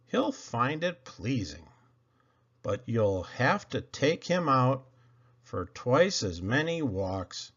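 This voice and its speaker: A man reading storybook poetry aloud to children in a theatrical, expressive way with dramatic pauses. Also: mid-range voice, muffled recording. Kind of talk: animated storybook read-aloud.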